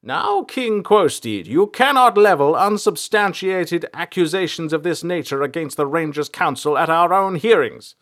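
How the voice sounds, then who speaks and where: A stern elder of a fantasy rangers' council, performed by a man, pitched well up. The delivery is formal and deliberate, with measured authority suited to an audiobook of high drama. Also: lightly acted.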